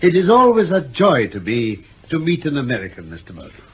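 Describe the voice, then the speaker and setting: Seeking a courteous, self-assured British detective for old radio drama: swooping intonation, precise explanations, faint hiss. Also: male voice, fairly high pitch, huge pitch swings, a little fast, fairly bright tone. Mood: gracious. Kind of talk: 1950s-60s BBC radio drama acting. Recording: dull vintage radio recording, faint noise.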